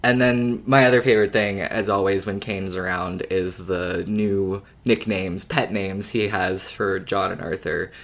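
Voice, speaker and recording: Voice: sits low, steady tone, unhurried, hardly any pauses, fairly bright tone. A podcast co-host talking casually, with a playful, wry way of recapping.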